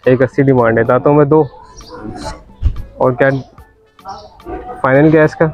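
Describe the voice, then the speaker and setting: A man's voice: mid-range voice, steady tone, moderate pace, highs cut off, soft background music. A man vlogging at an outdoor cattle pen, chatting and bargaining over prices with a seller. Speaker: vlogger touring a cattle market. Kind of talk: casual walk-around haggling chat.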